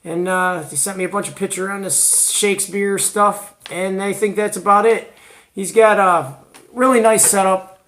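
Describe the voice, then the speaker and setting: A man's voice: sits high, steady tone, moderate pace, fairly bright tone, a little hiss or hum. A man hosting a hobby show, giving casual, chatty show-and-tell commentary in informal, slangy language. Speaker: host of a CB radio hobby show.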